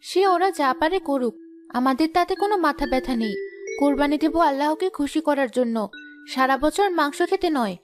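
Woman playing a high-voiced, sensible village housewife. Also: fairly quick, lightly acted. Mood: principled. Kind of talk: Bengali cartoon dubbing. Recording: music clearly under the voice.

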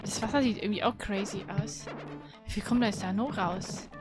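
A woman's voice: medium pitch, moderate pace. A woman streamer chatting casually while she plays a video game. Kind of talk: casual gameplay chat. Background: game music.

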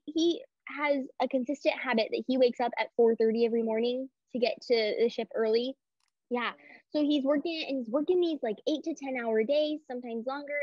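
Young female guest, cold-thickened voice, expressive; dry, muffled video-call audio. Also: sits high, dry recording. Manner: expressive, laughs easily. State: under the weather, voice thickened. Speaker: podcast guest. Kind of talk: casual interview chat.

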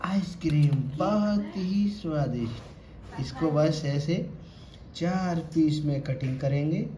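A man's voice: fairly high pitch, takes it slowly. A man in his thirties giving a chatty home cooking demonstration to viewers in a tiled kitchen, filmed on a phone held close. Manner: chatty, addresses viewers as friends. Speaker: cooking vlogger. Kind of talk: casual home cooking demo. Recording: roomy tiled kitchen, faint background noise.

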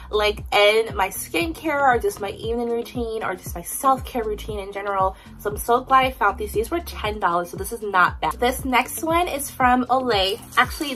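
A woman's voice: mid-range voice, bright, forceful tone, low-quality recording. A young woman in her twenties talking casually through a shopping haul at home, upbeat and chatty with plenty of ums and likes. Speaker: vlogger. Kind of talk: casual product haul chat. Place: indoors in a home room.

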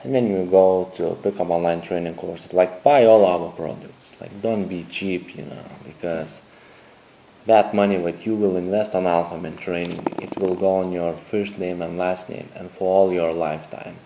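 Deep-voiced man pitching. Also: steady tone, gravelly, mellow, poor audio. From Lithuania. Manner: halting, repetitive, non-native phrasing. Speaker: dating coach. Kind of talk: direct-to-camera sales pitch. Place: indoor room with a TV screen behind.

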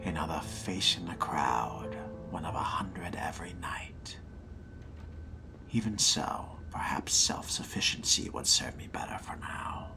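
A man voicing a sly, haughty vampire fledgling who muses to himself in a hushed, gravelly, theatrical whisper during a game role-play. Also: extremely low pitch, lively intonation, music in the background, full character voice, low-quality recording. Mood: wry musing. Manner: slow, theatrical, wry, formal wording.

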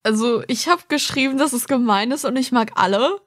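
Higher-voiced woman chatting casually. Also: a little fast, a bit bright. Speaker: podcast co-host. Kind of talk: casual podcast chat.

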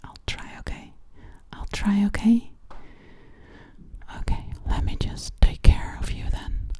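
Woman as a breathy whispering alien girl. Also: warm tone, close to an everyday voice. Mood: tender, reassuring. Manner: slow, soothing, repeats words and phrases. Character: gentle, curious alien girl on a mission to Earth. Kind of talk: ASMR personal-attention roleplay. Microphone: very close to a large microphone.

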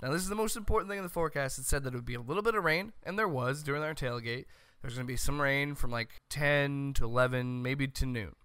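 An American man in his thirties hosting a sports show, loud and opinionated in a comedic commentary style. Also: on the high side, clear, resonant tone, no room sound. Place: small home room, indoors. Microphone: close desk microphone on boom arm.

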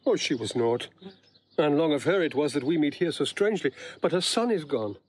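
King and father: theatrical, emotional, high-pitched and husky, Shakespearean radio drama delivery. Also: expressive, fairly quick. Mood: tender, solemn. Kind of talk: classical Shakespearean audio drama acting.

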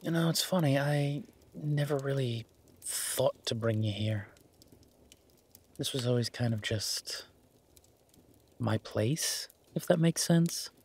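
Man acting as a husky, tender werewolf boyfriend. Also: medium pitch, slow pace, pauses often, a little mellow. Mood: content, romantic. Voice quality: husky, breathy. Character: affectionate easygoing male werewolf boyfriend, sappy romantic. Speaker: audio roleplay performer. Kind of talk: intimate boyfriend roleplay audio, casual one-sided chat.

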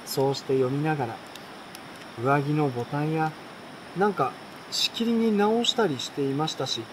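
A young adult man reading a bedtime story aloud in a relaxed, unhurried way, with pauses between short phrases. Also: fairly high pitch, noisy background. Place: outdoors at night beside a campfire. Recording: campfire, insects and stream behind voice.

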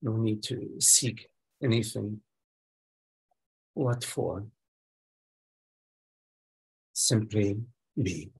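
A man with a very husky, slightly gravelly voice guides a meditation. He speaks very slowly, in short, sparse phrases with long silences between them. His pitch sits on the low side, and his delivery is that of a teacher giving gentle instructions. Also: rough recording.

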